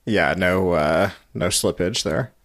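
A man's voice: sits low, slow pace, gravelly, fairly bright tone. A man hosting a podcast interview in a casual, conversational way, hedging his points with frequent little asides.

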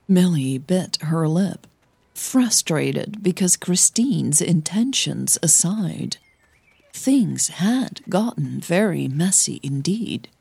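Slow, low-pitched woman narrating fiction. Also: expressive, vocal fry, warm tone, breathy edge, dry recording. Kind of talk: scripted audio fiction narration.

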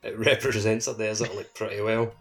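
Lowish-voiced man in informal podcast chat. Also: little pitch movement, a little fast, over loud music. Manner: informal, frequent "like". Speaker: podcast co-host. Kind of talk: casual chat on a gaming podcast.

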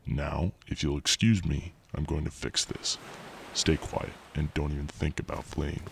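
Gravelly, abyss-deep evil scientist villain. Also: male voice, steady tone, unhurried, heavily acted. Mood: angry, commanding. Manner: cold, imperious, menacing. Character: menacing evil scientist villain ruling a simulated town. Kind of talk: audio drama acting. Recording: recording with faint background noise.

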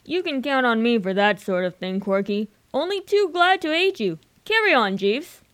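A woman takes the part of a breezy young gentleman of leisure in a radio comedy. The voice is fairly high, and the talk is generous and full of period upper-class slang. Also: animated, moderate pace.

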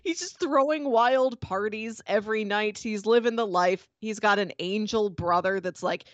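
A woman's voice: mid-range voice, moderate pace, no echo, muffled recording. Chatty woman podcaster, dry close sound. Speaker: podcast host. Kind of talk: casual conversational TV review.

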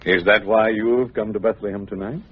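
Actor as probing Roman governor, tinny radio. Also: male voice, on the low side, steady tone, a little fast, a little hiss or hum, lightly acted. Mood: dry, probing. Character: measured Roman official, smooth and probing. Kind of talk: old-time radio drama acting. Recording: tinny old radio recording with music.

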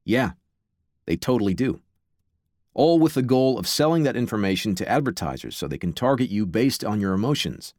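A man's voice: lowish voice, sing-song, a little fast, a little mellow, no echo, studio quality. A man presenting a podcast with scripted narration, wry and blunt in manner.

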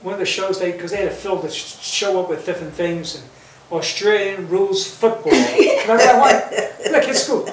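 A man's voice: high voice, moderate pace. A man in his sixties from New York, chatting casually and jovially as a host and priest, often repeating his words. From New York, United States. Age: 60s. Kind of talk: casual chat. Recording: roomy, distant mic, faint noise.

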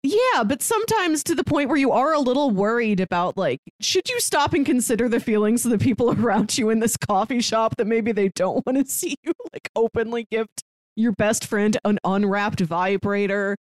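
A woman's voice: on the high side, expressive, moderate pace, no room sound, studio quality. A woman co-hosting a podcast, talking through a film in a casual, conversational way. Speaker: podcast co-host. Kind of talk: casual film discussion chat.

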